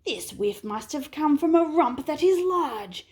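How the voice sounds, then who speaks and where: A woman's voice: high voice, animated, unhurried, darkish tone, pitched above the performer's own voice. A woman doing a pompous bossy wombat. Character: bossy male wombat who likes being in charge.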